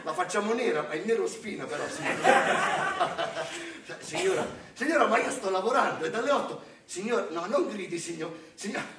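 An actor playing a frazzled, short-tempered Santa Claus in a live comic stage monologue, coaxing sweetly and then shouting. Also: male voice, high-pitched, sing-song, normal speed. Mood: exasperated. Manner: sugary coaxing that tips into shouting. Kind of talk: live theatre comedy monologue. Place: theatre stage. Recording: distant, roomy live stage recording with noise.

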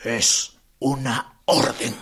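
An actor playing an authoritarian, hostile army general with a gravelly voice and Mexican accent in a radio drama. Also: male voice, mid-range voice, level tone, drawn-out words, sharp tone, a little hiss or hum. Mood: cold, ordering. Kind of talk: historical radio drama acting. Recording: music behind the voice.

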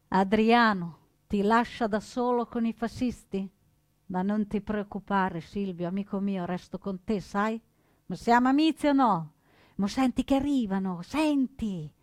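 A woman's voice: mid-range voice, no room sound, natural voice. A woman voicing a loyal, defiant male partisan comrade, speaking intimately and emphatically in a theatrical radio drama.

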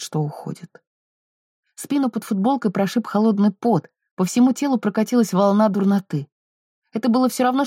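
A woman narrates a novel in a soft, mellow voice. The sound is dry, with very little room around it. Her delivery is scripted literary storytelling. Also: medium pitch, no room sound. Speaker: audiobook narrator.

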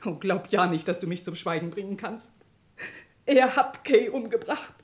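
A woman's voice: medium pitch, lively intonation, moderate pace, darkish tone, natural voice. A woman playing a bitter, unyielding grieving mother in a German crime radio play, scornful and vehement. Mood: vehement accusing.

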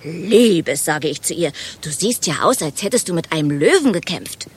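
An actress playing a chatty, overexcited office gossip in a radio play, telling things in a dramatic, gushing way. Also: female voice, on the low side, animated, moderate pace, breathy edge. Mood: gleeful gossiping. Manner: dramatic, gushing storyteller, mimics quoted exchanges.

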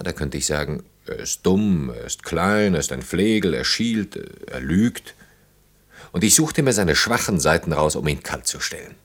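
A very deep male voice plays an earnest man in his thirties. He is in love and blames himself, speaking intimately and thoughtfully, as in a radio drama. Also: breathy edge, full character voice. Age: 30s. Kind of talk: German radio play acting.

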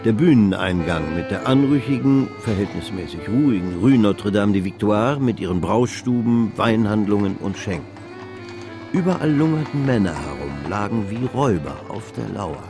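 An actor narrating a literary radio play as a measured, all-knowing storyteller of an old stock exchange tale. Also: male voice, lowish voice, expressive. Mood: measured, vivid. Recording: music behind the voice. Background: music.

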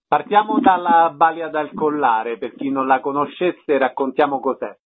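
A man's voice: on the high side, moderate pace. A man hosting a radio programme, reading out announcements in a formal, methodical way. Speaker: radio host. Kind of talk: radio presenting, scripted announcements. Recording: narrow-band lo-fi radio sound.

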